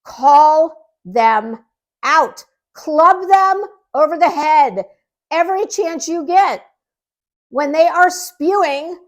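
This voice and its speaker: A woman giving casual, blunt online drama commentary in a sarcastic, mocking and emphatic manner, with some swearing. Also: sits high. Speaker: commentary channel creator.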